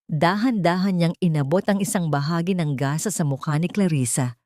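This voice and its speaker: Low-voiced woman narrating melodrama. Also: normal speed, mellow, no echo, clean studio sound. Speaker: story narrator. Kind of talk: scripted dramatic narration of a romance melodrama.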